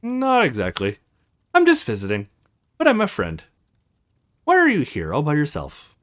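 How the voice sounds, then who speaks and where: A woman playing a kind, gentle and reassuring young witch in a visual novel game, over music. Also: mid-range voice, huge pitch swings, moderate pace.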